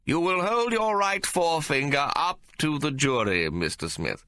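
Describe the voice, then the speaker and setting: A man voicing a stern, commanding judge who talks slowly and pompously. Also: on the high side, expressive, bright, forceful tone, clear, resonant tone, dry recording, studio quality, voice pushed up. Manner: slow, pompous, measured.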